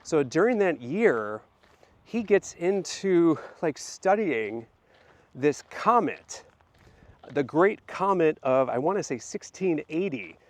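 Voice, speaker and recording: A man hosting a casual walk-and-talk vlog, his voice hoarse and deepened by a recent illness, rambling with many fillers and restarts. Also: big pitch swings, slow pace. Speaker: channel host.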